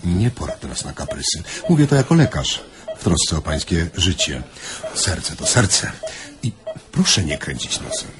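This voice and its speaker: An actor playing a pragmatic but wavering surgeon in a radio play, with a stern, pompous manner. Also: male voice, low voice, huge pitch swings, moderate pace, over music. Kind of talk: theatrical radio play acting.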